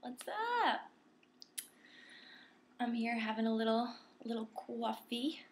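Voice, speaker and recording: A woman's voice: fairly high pitch, big pitch swings, slow. Young woman, casual home vlogger, talkative and giggly, slightly tipsy, light background noise. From Long Island, New York. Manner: chatty and giggly, lots of ums. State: a little tipsy. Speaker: spiritual vlogger. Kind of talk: casual chat to camera. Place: home desk at night, candles.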